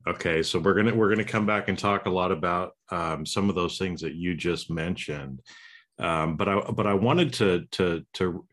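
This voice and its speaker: Deep-voiced rambling male host. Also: normal speed, a bit bright. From United States. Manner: rambling, many restarts and tag questions. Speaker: podcast host. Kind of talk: casual interview chat.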